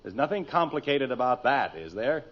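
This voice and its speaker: Lively, wry American father. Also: mid-range voice, normal speed. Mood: exasperated. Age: middle-aged. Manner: wry, emphatic. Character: wry, impatient middle-aged suburban father. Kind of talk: 1940s-50s radio sitcom acting. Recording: muffled, faintly noisy vintage radio recording.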